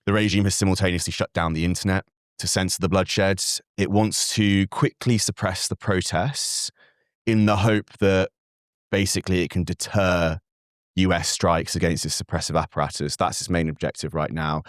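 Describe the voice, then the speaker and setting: A young man, a native Farsi speaker raised in Britain, answering as a guest analyst in an earnest, measured way with frequent fillers and restarts. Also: sits low, fairly even intonation, dry recording, studio quality. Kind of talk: interview answers.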